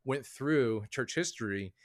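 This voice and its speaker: A man's voice: medium pitch, lively intonation, unhurried. Thoughtful man talking it through. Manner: thoughtful, hedging, many fillers. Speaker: author and guest. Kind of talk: casual interview talk.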